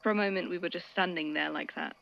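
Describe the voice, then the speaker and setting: A woman playing a shaken, devoted friend in an audio drama, speaking in a halting, hushed, earnest way. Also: fairly low pitch, gravelly, lo-fi sound, slight background noise. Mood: tender, shaken. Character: Grian, shaken devoted friend recounting a rescue. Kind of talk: intimate dramatic monologue in audio fiction.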